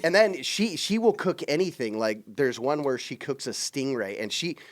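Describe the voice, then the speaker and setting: A man's voice: mid-range voice, animated, normal speed. A man co-hosting a podcast, chatting casually and conversationally. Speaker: podcast co-host.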